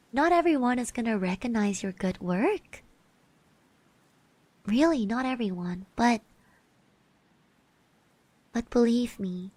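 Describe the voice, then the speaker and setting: Woman playing a slow, soft-spoken shy schoolgirl classmate. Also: on the high side, no echo. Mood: tender, reassuring. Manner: hesitant, bashful, gently encouraging. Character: shy, nervous, caring schoolgirl classmate cheering you on. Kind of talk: soft ASMR roleplay, partly whispered.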